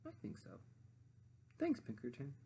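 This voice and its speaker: A woman reading a storybook expressively to children, with dramatic pauses and asides to her young listeners. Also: extremely low pitch, wildly swooping pitch, warm tone, a little hiss or hum. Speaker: storybook reader.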